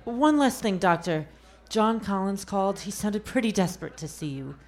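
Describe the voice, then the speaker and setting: A woman's voice: mid-range voice, lively intonation, moderate pace, darkish tone, natural voice. A woman playing an efficient, dutiful longtime nurse in a radio play, businesslike and deferential. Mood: dutiful, brisk. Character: efficient, dutiful female nurse of many years.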